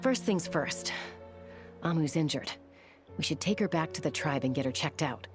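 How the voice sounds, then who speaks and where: Game role: cautious female protector, lower register, practical and serious. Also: lively intonation, moderate pace, music in the background. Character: level-headed, protective woman leader, cautious and caring. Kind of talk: video game voice acting.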